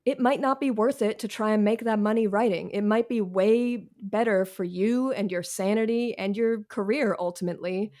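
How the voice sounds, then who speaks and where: A woman's voice: mid-range voice, fairly even intonation, normal speed, few pauses, mellow. A woman in her thirties talking candidly as an interview guest, frank, slangy and self-deprecating. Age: 30s. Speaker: author, podcast guest.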